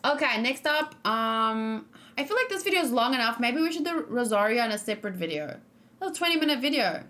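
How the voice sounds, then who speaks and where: A woman's voice: fairly high pitch, very animated, brisk, pushed and bright. A young woman chatting casually on a live stream, thinking aloud and reading out chat messages as she reacts to game trailers.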